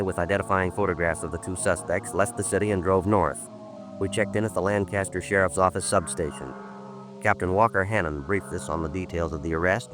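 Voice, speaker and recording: Low-voiced actor as terse male detective, rapid clipped delivery, deadpan, dogged, vintage radio crime drama. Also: close to an everyday voice. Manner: clipped, matter-of-fact, deadpan. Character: terse, dogged male police sergeant narrating and interrogating. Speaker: detective narrator. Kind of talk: 1950s radio crime drama acting and narration.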